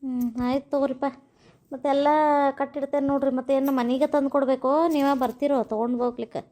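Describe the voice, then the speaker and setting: A woman's voice: sits high, level tone, quick pace, warm tone, voice pushed down, lightly acted. A woman voicing an obliging, business-minded village shopkeeper in an amateur cartoon, folksy and respectful, with a North Karnataka accent.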